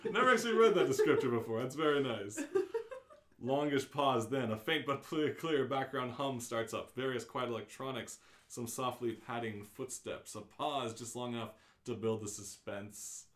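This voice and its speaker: A man's voice: medium pitch, normal speed, a bit bright, echoey room. A man casually reading out a radio play's stage directions live, with his own asides.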